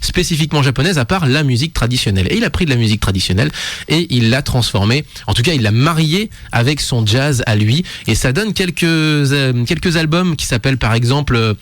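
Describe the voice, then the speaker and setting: A man's voice: medium pitch, lively intonation, brisk, dry recording. A male radio host talking in an easy, casual way. He wanders through his points and keeps correcting himself with fillers along the way. His voice sounds dry and close to the mic, with no room sound. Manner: rambling, self-correcting, many fillers.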